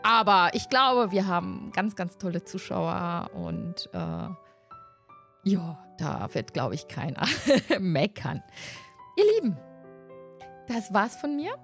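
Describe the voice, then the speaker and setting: A woman's voice: on the low side, very animated, takes it slowly, vocal fry, a bit bright. A middle-aged woman hosting a tabletop role-playing channel, chatting casually to viewers into a close desk microphone. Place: indoors at home, video call. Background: music.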